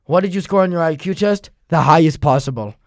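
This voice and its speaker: Man, young adult, deadpan, mocking, high voice, dry close sound. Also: a little fast, no room sound. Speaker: interviewer. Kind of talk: comedic confrontational interview.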